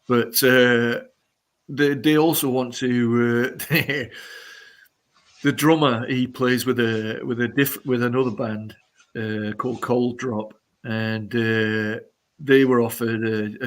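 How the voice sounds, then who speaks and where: A middle-aged or older man, a musician chatting casually and haltingly as an interview guest. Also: medium pitch. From Sheffield, England.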